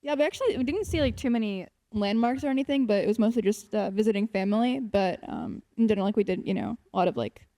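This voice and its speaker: A woman's voice: mid-range voice, expressive, quick pace, hardly any pauses, mellow. A teenage girl, a student poet, who reads a poem and then answers questions with many fillers and restarts. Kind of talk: poetry reading and casual answers.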